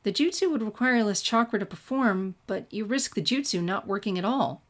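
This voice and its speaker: A woman's voice: mid-range voice, lively intonation, fairly quick, pitched above the performer's own voice, lightly acted. A woman voicing a bold, confident young female ninja in a fan fiction reading, forthright and eager. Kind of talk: fan fiction character dialogue.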